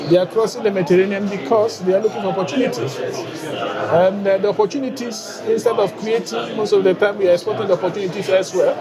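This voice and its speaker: A man from Ghana with a Ghanaian accent, heading a bank's guarantees unit and answering interview questions in a measured way with frequent hesitations. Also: sits high, steady tone, poor audio. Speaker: bank guarantees unit head. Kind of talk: conference interview. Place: busy trade conference floor. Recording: loud crowd behind.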